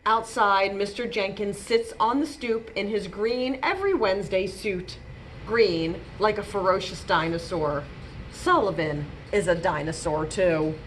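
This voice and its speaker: A woman reading a storybook aloud to young children, deliberate and expressive, leaning on key words. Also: medium pitch, slight background noise. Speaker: children's librarian, storytime reader.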